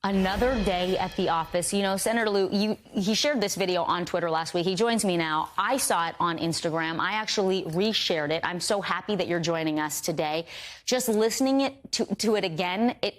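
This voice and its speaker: A woman news anchor conducting a broadcast interview in an emphatic, conversational way. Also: medium pitch.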